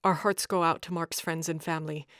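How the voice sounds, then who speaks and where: A woman's voice: low voice, fairly even intonation, fairly quick, fairly bright tone, no room sound, clean studio sound. A woman playing a tech show host, presenting scripted tech news in a polished, emphatic way. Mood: somber, formal. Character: enthusiastic, skeptical female tech show host.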